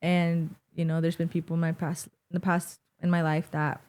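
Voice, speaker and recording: A woman's voice: lowish voice, flat delivery, normal speed, a little mellow. Chatty young Mexican American woman. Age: young adult. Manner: rambling, heavy use of "like". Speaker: podcast guest. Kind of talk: casual interview chat. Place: small studio set with wooden tables. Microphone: boom-mounted studio mic.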